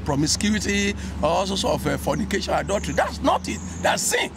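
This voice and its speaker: A man's voice: sits high, sing-song, a little fast, vocal fry. An older man speaking about love in a sermon-like, emphatic way during a street interview. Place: outdoors in a city square. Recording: street noise.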